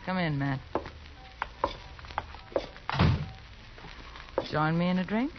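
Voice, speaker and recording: A woman's voice: on the low side, animated, quick pace, over loud music, close to an everyday voice. A woman playing a world-weary, protective saloon madam in a 1950s radio western, dry and challenging. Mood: dry, wary. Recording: muffled, noisy old radio recording.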